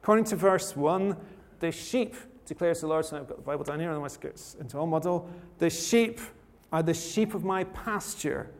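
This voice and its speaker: A man from rural Scotland preaching a sermon in a relaxed, conversational way with touches of dry humor. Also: a little high, moderate pace. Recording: long hall reverb.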